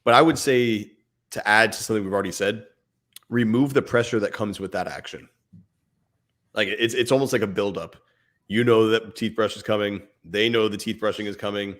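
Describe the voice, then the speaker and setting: Male co-host, low voice, casual and animated, laughs easily. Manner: animated, acts out little scenes with his kid, laughs often. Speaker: podcast co-host. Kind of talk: casual parenting advice chat.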